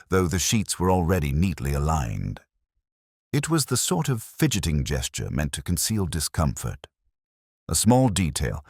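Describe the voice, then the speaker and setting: A man with a very low, bass voice reads a detective story in the first person. His delivery is measured and reflective, with lively rises and falls across each sentence. The sound is close and dry, suited to literary mystery narration. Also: no echo.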